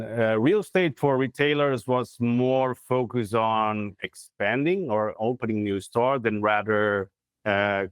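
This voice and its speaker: Brazilian-accented man, smooth dry voice. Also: lowish voice, dry recording. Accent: Brazilian Portuguese. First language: Portuguese. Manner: halting, searching for words with many fillers. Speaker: guest, corporate real estate head. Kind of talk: video call interview. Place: plain indoor room. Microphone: lapel mic on video call.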